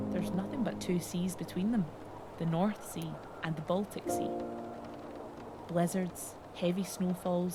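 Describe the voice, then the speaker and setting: A woman's voice: fairly low pitch, background noise, natural voice. A woman performing an audio play monologue as a reflective immigrant mother, reminiscing lyrically. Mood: wry reminiscing. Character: reflective Estonian immigrant mother fond of winter.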